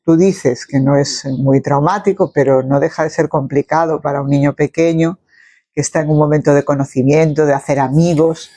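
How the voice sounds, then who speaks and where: A man's voice: a little high, fairly even intonation, moderate pace, dark tone. A man hosting a conversational interview, phrasing his questions in a reflective, elaborate way. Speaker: interviewer, host.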